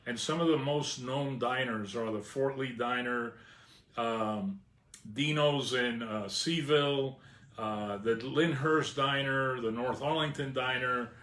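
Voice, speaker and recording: A man's voice: mid-range voice, slow. Man with a new jersey accent, roomy sound. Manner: relaxed, many "uh" fillers, warms up excitedly about food. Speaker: vlogger. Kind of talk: casual reminiscing monologue.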